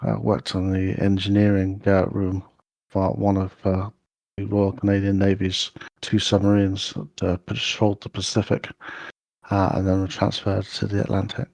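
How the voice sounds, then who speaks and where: A man playing a tabletop game, introducing his character in the first person, in a halting way with many pauses. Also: sits low, completely flat delivery, gravelly, darkish tone, no room sound.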